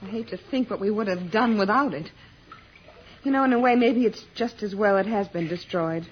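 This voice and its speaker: An actress playing an earnest, worried young heroine in an old-time radio serial. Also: female voice, medium pitch, moderate pace, close to an everyday voice. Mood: pensive. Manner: earnest, concerned. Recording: muffled, noisy old radio recording.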